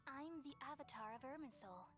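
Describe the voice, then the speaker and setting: Game casting: girlish tree deity, high voice, calm wisdom, solemn delivery, soft score behind. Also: fairly quick, music in the background. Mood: solemn, wise. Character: ancient tree goddess in a child's form, serene, wise. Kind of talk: anime video game dialogue acting.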